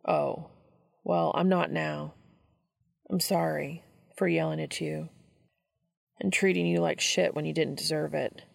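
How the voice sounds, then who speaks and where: Casting: gruff, halting, regretful man in a narrated story played by a woman, lowish and very creaky. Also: fairly even intonation, full character voice. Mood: awkward, apologetic. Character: gruff, awkward, remorseful grown man.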